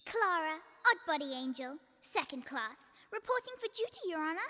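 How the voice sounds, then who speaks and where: Young woman playing a very high, eager angel. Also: big pitch swings, normal speed, pushed and bright, soft background music, exaggerated voice. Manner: chirpy, earnest. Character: eager, naive second-class female apprentice angel. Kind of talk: stage-like radio drama acting. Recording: long hall-like reverb effect.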